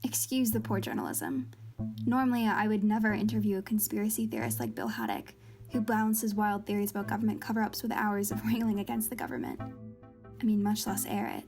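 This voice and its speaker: An actress playing a composed, skeptical investigative podcast journalist in a radio drama, speaking in a measured, dry way. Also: female voice, medium pitch, a little mellow, soft background music, close to an everyday voice. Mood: wry. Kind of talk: scripted radio drama interview and narration.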